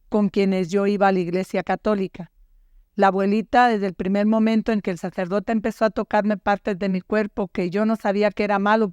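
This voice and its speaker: Older woman, smooth and flat. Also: mid-range voice, dry recording, highs cut off. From Guatemala. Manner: quiet, measured, halting recollection. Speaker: guest survivor. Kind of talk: personal testimony.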